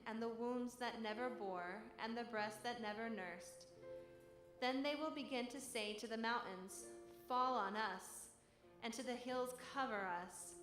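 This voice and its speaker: A woman delivers a solemn devotional reading in a roomy, echoing space. She is earnest and deliberate, with music playing softly behind her. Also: on the high side. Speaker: church reader. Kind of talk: solemn first-person dramatic devotional reading. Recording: echoey room.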